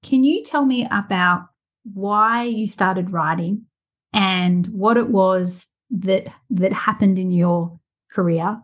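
A woman's voice: mid-range voice, slow. A woman, hosting a casual interview podcast in an enthusiastic, chatty way. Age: 40s. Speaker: podcast host.

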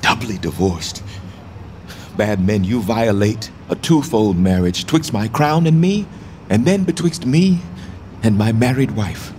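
A fallen medieval king, grieving and bitter. The voice is low and theatrical, shaping poetic verse for a Shakespearean radio drama. A little background noise sits behind it. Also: expressive, unhurried, a little mellow. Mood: grieving, tender.

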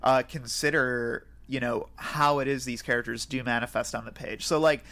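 A man's voice: medium pitch, fairly even intonation, moderate pace, pushed and bright. A man co-hosting a podcast in casual film discussion, hesitant and often circling back to rephrase. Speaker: podcast co-host.

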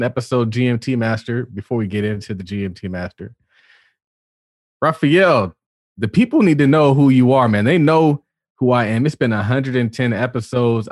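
A man's voice: medium pitch, dry recording, clean studio sound. A man co-hosting a podcast, bantering casually and putting questions to his co-host.

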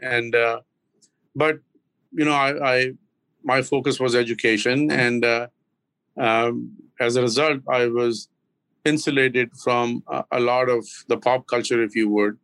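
An older man from Pakistan, in his sixties or beyond, with a Pakistani accent, talking reflectively as an interview guest in a measured, hesitant way with frequent pauses. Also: medium pitch, fairly even intonation, a bit bright, dry recording, poor audio. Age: 60s or older. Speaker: interview guest, business owner.

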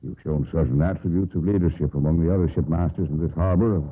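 An actor playing an anxious older sea captain and father, halting and formal, in 1950s radio drama style. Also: extremely low pitch, husky. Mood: worried, pleading. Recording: old radio broadcast, narrow band.

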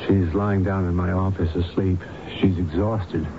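An actor playing a calm, reassuring doctor and hypnotist in a radio drama, speaking in a measured, professional, explanatory way. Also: male voice, deep voice, loud music behind the voice. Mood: soothing, probing. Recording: dull, noisy old radio broadcast.

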